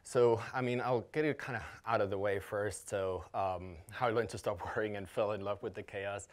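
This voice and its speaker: A man in his thirties from Denmark, speaking English as a second language, gives a conference talk. His voice sits very low, and he talks in a loose, casual way, full of ums and self-corrections. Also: fairly even intonation, normal speed. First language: Danish. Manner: casual, many fillers and restarts. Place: conference hall.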